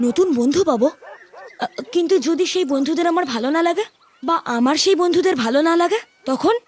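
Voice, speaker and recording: A woman's voice: very high voice, animated, quick pace. A woman voicing a mischievous, headstrong young cowherd boy who whines and argues, with music playing behind. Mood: sulky. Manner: whiny, pleading back-talk.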